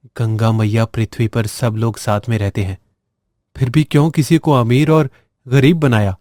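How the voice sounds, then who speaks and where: A humble poor boy from a cartoon moral tale, played by a grown man, with a medium male pitch. He speaks in a plaintive, sorrowful, pleading way in a dry, close recording. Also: fairly even intonation, moderate pace, darkish tone, no room sound, lightly acted. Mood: sad, pleading. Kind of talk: moral-story cartoon dialogue.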